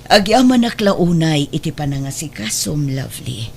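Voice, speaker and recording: A woman's voice: sits low, expressive, moderate pace, gravelly, slight background noise. An actor playing a stern, resolute older wealthy adoptive mother with an Ilocano accent in a radio drama. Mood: solemn, measured.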